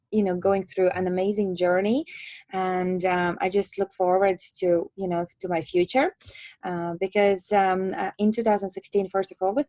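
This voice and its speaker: A woman with a Latvian accent giving an online presentation, explaining in a hesitant, halting way with many filler words. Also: fairly low pitch, warm tone, no echo. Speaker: presenter and trainer.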